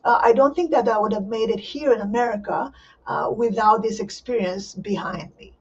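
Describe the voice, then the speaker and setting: An older woman who grew up in Israel, recalling her early life in a halting way, with many restarts. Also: a little high, slightly rough. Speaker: poet and professor, interview guest. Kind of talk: virtual interview, personal recollection. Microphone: video call. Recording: distant, muffled video call audio.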